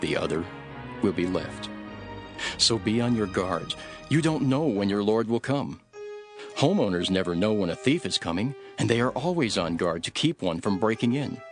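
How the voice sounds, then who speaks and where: A man reading scripture as a solemn, dramatic narration, measured and earnest, with deliberate phrasing. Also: lowish voice, lively intonation. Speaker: Bible narrator. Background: background music.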